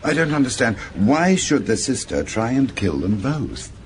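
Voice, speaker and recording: A man's voice: mid-range voice, big pitch swings, moderate pace, a little hiss or hum, lightly acted. An actor playing an inquisitive male confidant who prompts an inspector with short questions in a radio drama. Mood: puzzled.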